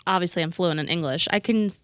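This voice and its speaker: A woman's voice: fairly low pitch, fast, a bit bright, no echo. A woman hosting a radio interview, upbeat and encouraging, chiming in with short affirmations, on a studio mic in a radio studio. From Puerto Rican family. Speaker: radio host. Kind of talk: casual interview chat.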